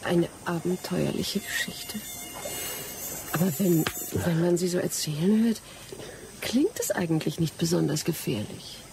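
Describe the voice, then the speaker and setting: An actress playing a curious young lady traveller, polite and gently mocking, in a German radio drama. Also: lowish voice, unhurried, gravelly, background noise. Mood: intrigued.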